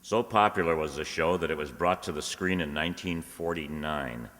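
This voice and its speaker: Deep, bright-toned male announcer. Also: moderate pace. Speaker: announcer, presenter. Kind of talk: introductory talk on old radio. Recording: echoey hall, long reverb.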